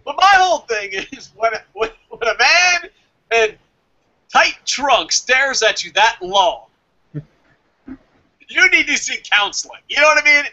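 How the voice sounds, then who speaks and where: A man's voice: very high-pitched, expressive, slow, long pauses, bright, forceful tone, dull audio. A man in casual, profane banter as co-host of a review show, ranting in exasperation and swearing often.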